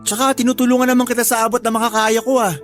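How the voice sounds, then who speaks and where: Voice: mid-range voice, flat delivery, rapid-fire, a bit bright, close to an everyday voice. A voice actor playing a lazy young husband who answers his wife in a whiny, defensive way. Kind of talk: Tagalog radio drama acting. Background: music.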